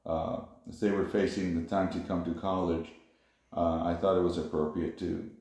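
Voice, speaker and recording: Casting: middle-aged Honduran-born man, low mellow voice, halting, filler-heavy, echoey room. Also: level tone. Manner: unhurried, many fillers and restarts. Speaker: interviewee. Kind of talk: casual family interview.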